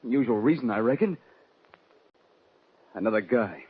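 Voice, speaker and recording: An actor playing an earnest, desperate young cowboy on the run in an old-time radio western. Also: male voice, medium pitch, expressive, measured pace, slightly rough. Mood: weary, earnest. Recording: dull old radio recording.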